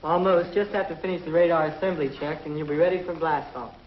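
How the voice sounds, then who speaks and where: Actor as an easygoing mechanic, fairly high voice, roomy muffled old TV sound. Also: male voice, fairly even intonation, fast, slight background noise, close to an everyday voice. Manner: friendly, easygoing. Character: friendly young male spaceport mechanic. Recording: dull roomy 1950s TV soundtrack.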